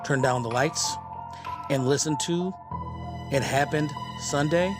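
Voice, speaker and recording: A middle-aged man hosting a podcast, giving a scripted introduction in measured, separated phrases. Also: a little high. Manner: measured, deliberate, pausing between phrases. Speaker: podcast host. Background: prominent music.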